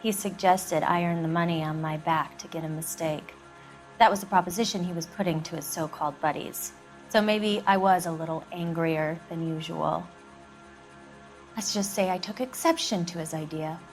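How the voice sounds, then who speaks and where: An actress playing a hard-bitten, defensive 1940s American widow in a video game, curt, dry and unsentimental. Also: female voice, fairly low pitch, normal speed, soft background music, lightly acted, low-quality recording. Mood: bitter, defiant. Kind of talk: dramatic video game acting.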